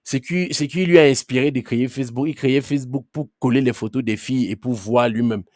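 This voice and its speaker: A man's voice: mid-range voice, a little fast, a little mellow, no echo, clean studio sound. A man teaching a live online class. He talks conversationally, keeps checking in with "ok?" and firmly tells late arrivals to mute their mics. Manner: firm with late students about muting. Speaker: trainer.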